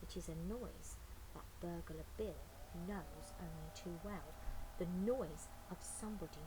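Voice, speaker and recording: A woman's voice: fairly low pitch, measured pace. A woman reading a children's picture book aloud as storyteller, with playful asides to young listeners. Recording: faint background noise.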